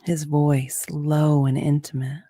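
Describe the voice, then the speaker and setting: A woman's voice: low-pitched, level tone, takes it slowly, warm tone, no echo, studio quality. A woman narrating a romance story as a dramatic reading, in a sultry, suspenseful manner.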